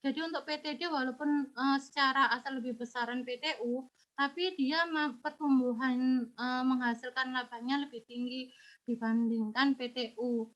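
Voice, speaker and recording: A woman with a high voice works through exam answers for her students, patient and methodical. A cold leaves her sounding congested and slightly unclear. The recording is dull and lo-fi. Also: a bit bright. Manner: patient, step-by-step explaining, addresses students directly. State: has a cold. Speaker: teacher.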